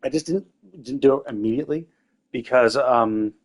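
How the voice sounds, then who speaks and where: A man's voice: mid-range voice, normal speed. A man streaming a game live, thinking aloud in a loose, rambling way with lots of restarts. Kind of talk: casual live-stream commentary while playing.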